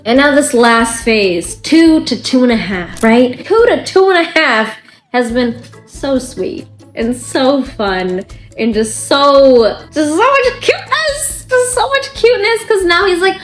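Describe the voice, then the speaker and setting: Young mom vlogger, high voice, chatty, keeps asking "okay?"; roomy echo, faint music underneath. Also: female voice, animated, measured pace. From Bangladesh. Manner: chatty, tags lines with "okay?", jumps high to quote her toddler. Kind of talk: casual chat to camera. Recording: echoey room with faint music.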